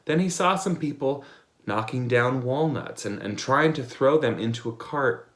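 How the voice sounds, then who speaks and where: A man's voice: mid-range voice, normal speed, echoey room. A man reading fairy tales aloud in a playful storytelling manner. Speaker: storybook reader.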